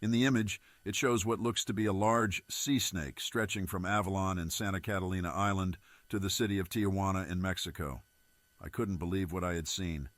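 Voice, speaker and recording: A man playing an unsettled reporter in an amateur disaster drama, speaking in a measured, earnest, confiding way. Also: deep voice, steady tone, a bit bright, no echo. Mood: disbelieving. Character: unsettled male news reporter revealing a leaked monster report.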